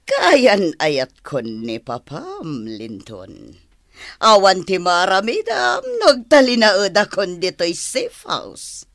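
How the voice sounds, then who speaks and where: A scheming, defiant woman of the underworld in a radio drama. She taunts slowly and theatrically, with hugely varied pitch, in a dry close studio sound. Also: huge pitch swings, no echo, exaggerated voice. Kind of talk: Ilocano radio drama acting.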